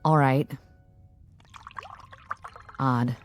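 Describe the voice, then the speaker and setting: An easygoing female office assistant speaks in a notably deep voice. She is inquisitive, dry and conversational, in radio drama dialogue with light music underneath. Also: steady tone, very slow, exaggerated voice. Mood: casual, musing.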